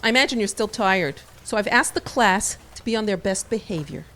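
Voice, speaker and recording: A woman's voice: mid-range voice, animated, brisk, fairly bright tone, natural voice. A woman playing a firm, polite schoolteacher in an audio drama. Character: orderly female schoolteacher shushing her class.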